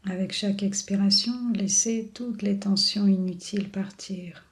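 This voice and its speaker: A woman leading a guided body scan meditation in a soothing, unhurried manner with long pauses. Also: mid-range voice, fairly even intonation. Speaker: yoga and relaxation guide.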